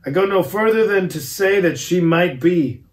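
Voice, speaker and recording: A man's voice: high-pitched, natural voice. Man playing a grave old professor. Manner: slow, formal and earnest pleading. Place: garage.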